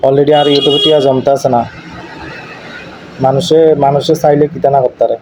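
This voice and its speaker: A man's voice: mid-range voice, little pitch movement, moderate pace. A man with an eastern Bengali dialect arguing casually on a recorded call, insistently repeating his phrases. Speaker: YouTube video creator. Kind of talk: casual domestic argument on a call. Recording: dull lo-fi phone call recording, faint noise.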